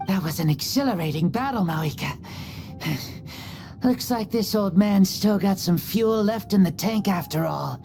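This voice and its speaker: Stately, mellow old warrior king. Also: sits high, lively intonation, vocal fry, exaggerated voice. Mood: good-humoured. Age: older. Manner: stately, formal, measured. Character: noble old warrior king, proud, gracious, solemn. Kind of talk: dramatic game dialogue.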